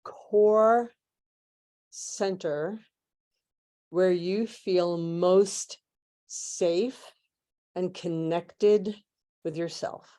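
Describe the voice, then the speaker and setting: A woman leading a guided meditation in measured, hushed phrases with long pauses, like a spiritual transmission. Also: fairly low pitch, fairly even intonation.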